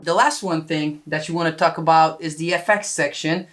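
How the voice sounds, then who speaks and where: A man's voice: a little high, steady tone, moderate pace. A man who produces music, talking through how a track was put together. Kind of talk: production walkthrough.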